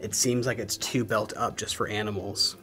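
A man's voice: lowish voice, fairly even intonation, moderate pace, sharp tone. A man in his thirties walks through a stone cave dwelling and explains it to a handheld camera. He sounds curious and describes things as he moves. Music plays behind him. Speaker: vlogger and father. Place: stone cave house in a wooded hillside, partly outdoors. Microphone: handheld camera, sometimes at arm's length.

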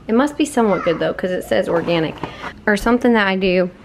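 A woman's voice: mid-range voice, fast, slight background noise. A woman in her thirties talking casually to the camera as a mother making a home vlog. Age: 30s. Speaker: vlogger and mother. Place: home kitchen and outdoor back porch. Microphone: camera at arm's length.

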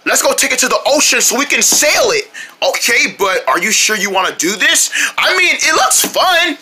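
A performer voicing an excitable, childlike cartoon character in playful, exclamatory banter on a noisy home recording. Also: medium pitch, normal speed, lightly acted. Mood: eager.

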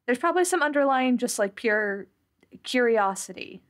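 A woman playing a tabletop game, casually and haltingly explaining what her character is thinking. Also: a little high, lively intonation.

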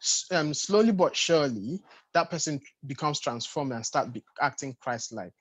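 A man in his thirties with a husky, somewhat high voice makes his case in a panel discussion. He explains step by step and repeats short phrases to stress them. The sound is dry, over a video call. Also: expressive, moderate pace, no echo. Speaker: panelist at a church youth congress. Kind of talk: earnest, unscripted teaching in a panel discussion. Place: home room with bookshelves, video call.

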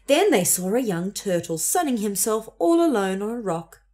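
Highly animated young woman reading a storybook. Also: normal speed. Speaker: storybook reader. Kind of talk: expressive children's story narration.

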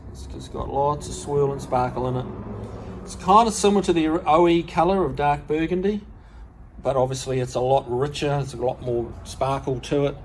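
A man in his sixties with a New Zealand accent, showing car restoration work in a workshop in a relaxed, practical, plain-spoken way. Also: medium pitch, slight background noise, rough recording. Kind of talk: casual how-to demonstration. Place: large car workshop, indoors.

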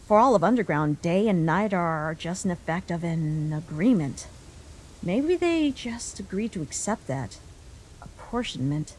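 A woman's voice: on the low side, big pitch swings, measured pace, a little mellow, slight background noise, rough recording. A woman voicing a bossy, smug human child in a comic dub, sardonic and teasing. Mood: thoughtful, smug. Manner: sardonic, sassy.

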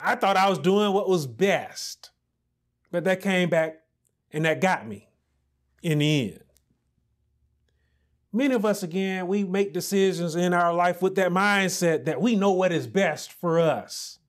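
Pastor guy in his 30s giving a sermon, slow and high pitched, lapel mic in a small church room. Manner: preaching with rising emphasis and slow deliberate pauses. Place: small indoor church office set with pulpit.

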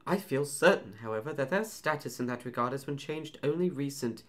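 A prim, fussy male protocol droid lecturing in a professorial tone, as a woman reader puts him on. His voice sits lower than the reader's own, precise and formal. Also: lively intonation, a little fast.

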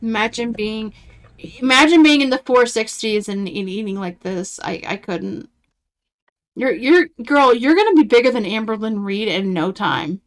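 A woman in her thirties talks over a video in loose, casual commentary. She is blunt and sarcastic, swearing freely. Her voice sits a little higher than most. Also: lively intonation, normal speed. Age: 30s. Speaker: reaction commentator.

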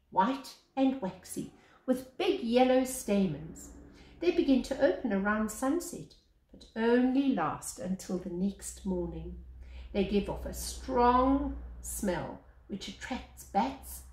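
An older woman, a teacher, reads a picture book aloud with deliberate phrasing and pauses between phrases. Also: mid-range voice, darkish tone. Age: older, 60s or above. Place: small living room, indoors. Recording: echoey room sound.

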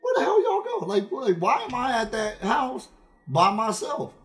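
High-pitched man telling stories on stream. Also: normal speed. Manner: animated, emphatic, repeats phrases for effect. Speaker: game streamer. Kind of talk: casual, profane storytelling on a livestream. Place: indoor room at a streaming setup.

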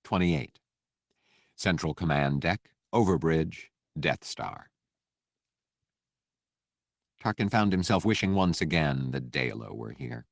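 A man's voice: sits low, no room sound, studio quality. A man reading a novel as scripted audiobook narration.

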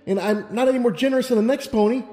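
A man's voice: very high-pitched, rapid-fire, mellow, reverberant, big-room sound, pitched above the performer's own voice. A man voicing an anxious, self-doubting young unicorn mare in a storybook reading, earnest and hesitant. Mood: flustered denial. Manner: hesitant, stammering protests. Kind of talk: audiobook character reading.

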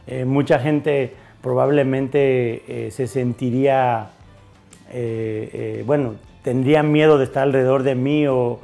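A man in his forties from Mexico, a chef answering interview questions in a bar, halting with many fillers and restarts, recorded on a lapel mic. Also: medium pitch, flat delivery, gravelly, warm tone, faint music behind. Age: 40s. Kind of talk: interview conversation. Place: bar with bottle shelves.

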